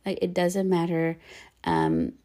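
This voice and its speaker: A bilingual woman hosting a solo podcast in a lowish voice with a gravelly fry running through it. She talks fast and tumbles over her words now and then, coaching listeners in a loose, encouraging way. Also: sing-song, warm tone. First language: bilingual Spanish and English. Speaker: podcast host. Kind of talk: casual solo podcast talk.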